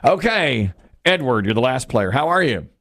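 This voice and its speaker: A man's voice: mid-range voice, very animated, moderate pace, creaky, fairly bright tone. A man hosting a radio word game, joking with his co-hosts, laughing often and quizzing callers. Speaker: radio show host.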